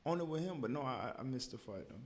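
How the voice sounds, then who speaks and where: A man's voice: medium pitch, lively intonation, fast. A man from Florida, a professional boxer guest, speaking in an easygoing, joking way. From Fort Myers, Florida, US. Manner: joking, easygoing, casual phrasing.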